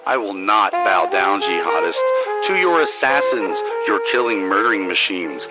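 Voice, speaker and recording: A man hosting, reading scripted material in a declamatory, deliberate way. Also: deep voice, very animated, loud music behind the voice, poor audio. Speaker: host. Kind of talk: scripted news narration and poem reading.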